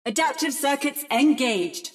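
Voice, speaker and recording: An adaptive female robot from a video game, mimicking fighters' battle calls. The voice is high and unhurried. Delivery is precise, synthetic and theatrical. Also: expressive, faint music behind. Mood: precise, robotic. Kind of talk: video game character voice lines.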